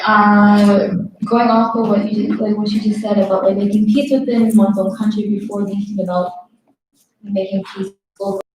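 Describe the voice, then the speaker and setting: A woman's voice: mid-range voice, level tone, normal speed, a little mellow. A young woman panelist from Texas speaking earnestly, with many "like" and "um" fillers. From Texas, United States. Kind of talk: panel discussion. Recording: very echoey with faint noise.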